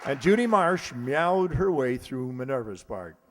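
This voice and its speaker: A man's voice: mid-range voice, sing-song, measured pace, fairly bright tone, a faint crowd. American male announcer in an echoey, noisy hall. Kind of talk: live curtain-call cast introductions. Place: live stage performance in a hall. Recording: echoey hall, audience noise, clicks.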